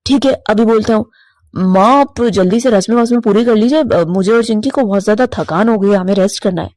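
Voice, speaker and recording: A woman's voice: medium pitch, brisk, darkish tone, no room sound, voice pushed down, lightly acted. A woman voicing an obedient, henpecked young son crow in a cartoon story, earnest and meek. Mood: compliant. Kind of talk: animated cartoon moral story.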